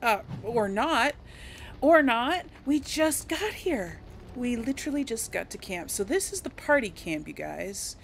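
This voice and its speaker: A woman's voice: on the high side, expressive, unhurried, creaky, slight background noise. A woman hosting a casual let's play, talking to viewers in a chatty, informal way. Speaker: gaming video host. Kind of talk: casual let's play commentary with role-played dialogue. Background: video game ambience.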